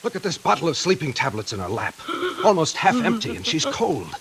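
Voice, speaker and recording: A man's voice: on the high side, wildly swooping pitch, brisk, crowd noise, rough recording. A man playing an earnest, decisive doctor and protective sweetheart in 1940s radio acting, speaking with emphatic urgency. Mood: earnest, insistent. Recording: hissy 1940s radio recording, rain behind.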